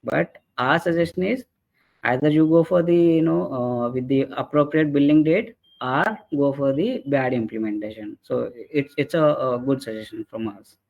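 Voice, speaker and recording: A man presenting an explanatory software training webinar in a halting way, often checking in with short tag questions. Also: medium pitch, gravelly. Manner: halting, repeatedly checks with "right?" and "okay". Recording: dull lo-fi webinar audio.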